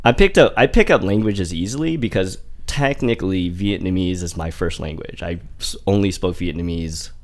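A man in his forties with a very low, deep voice rambles through a casual conversation. He circles back on himself with constant fillers and restarts as he tells stories from growing up in Indiana. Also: animated, moderate pace, few pauses. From Indiana, USA. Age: 40s. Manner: rambling, heavy use of "like" and restarts. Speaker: guest.

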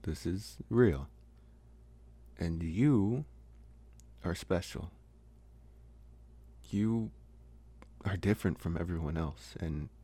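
A man playing a smitten young college vampire in an intimate, hesitant, soft-spoken ASMR roleplay. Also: low voice, breathy edge, no room sound, full character voice. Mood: earnest, matter-of-fact, then intrigued, intimate. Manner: hesitant, soft-spoken, slow with long pauses. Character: young male college vampire, smitten, calm, gently possessive. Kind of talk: ASMR roleplay, intimate one-sided dialogue.

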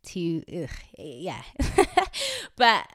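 A young woman, not a native English speaker, talks openly to her listeners in a relaxed, chatty way, full of fillers and small laughs. Her voice sits fairly low, and the sound is close and dry with hardly any room in it. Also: drawn-out words, dry recording. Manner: chatty and candid, many fillers and asides, laughing often. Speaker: podcast host. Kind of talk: casual faith talk to listeners. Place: indoors, recording herself on video.

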